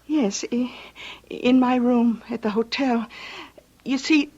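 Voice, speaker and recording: A woman's voice: a little high, warm tone. An actress playing a weak, stammering young woman recovering from poisoning in an old-time radio drama. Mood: earnest, frail. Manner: halting, stammering. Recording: muffled old radio recording.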